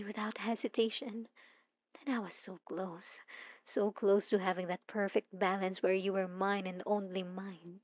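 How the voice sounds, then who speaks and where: A young woman playing an obsessive, possessive stalker student in an intimate ASMR audio roleplay, cooing softly and dramatically with sweet menace. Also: mid-range voice, natural voice. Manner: soft, intimate, cooing, dramatic, drops into whispers. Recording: dull lo-fi sound with roomy echo.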